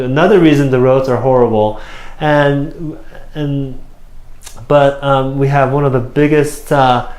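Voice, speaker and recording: A man in his thirties from South Korea, speaking English with a Korean accent as an interview guest, halting with many fillers and restarts. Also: mid-range voice, a little mellow. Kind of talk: casual interview answers. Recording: roomy echo.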